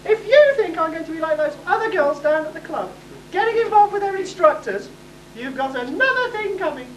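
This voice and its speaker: Woman playing a very high-pitched haughty princess. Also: lively intonation, a little fast. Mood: defiant. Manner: imperious, exclaiming. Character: haughty, stubborn young pantomime princess. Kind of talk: amateur pantomime stage acting. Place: hall stage, distant microphone. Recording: echoey distant hall, faint noise.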